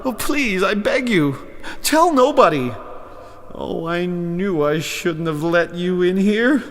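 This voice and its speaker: Flustered, stammering old humbug wizard. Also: male voice, high voice, animated, slow pace, a bit bright, full character voice. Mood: frightened pleading. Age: older. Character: timid old humbug wizard, former circus balloonist from America. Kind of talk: full-cast fantasy audio drama acting. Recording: long reverb, music behind.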